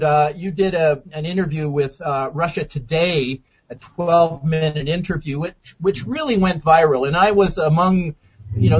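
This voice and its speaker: Male radio interview host, slightly high voice, measured and deliberate delivery, dull lo-fi recording. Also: slightly rough. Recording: dull lo-fi sound.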